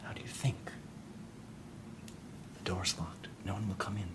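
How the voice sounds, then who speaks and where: An actor playing a furtive doctor in an audio drama, speaking in a clinical, coaxing, hushed manner. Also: male voice, deep voice, little pitch movement, a little slow, whispered. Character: furtive, insinuating doctor offering illicit help. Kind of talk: understated audio drama acting.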